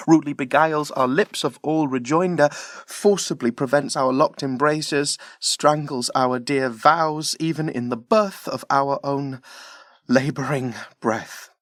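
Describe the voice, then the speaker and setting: A man's voice: mid-range voice, a bit bright, dry recording, clean studio sound, natural voice. A man playing an ardent, jealous young Trojan prince in love, delivering classical verse earnestly in an audio drama. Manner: earnest, declamatory.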